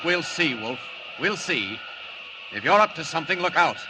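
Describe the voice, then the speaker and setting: A confident superhero talking to himself with firm resolve in a 1940s radio serial. His fairly high voice is heard through very loud noise on the aged recording. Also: very animated, moderate pace. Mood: resolute. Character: determined young superhero musing aloud while flying. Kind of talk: 1940s radio drama acting.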